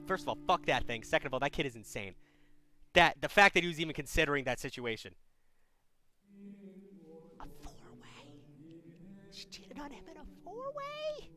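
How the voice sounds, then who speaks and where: A young man hosting a livestream advice call-in show, blunt and animated, sometimes playing a mock preacher. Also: on the high side, moderate pace, long pauses, loud music behind the voice. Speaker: advice hotline host.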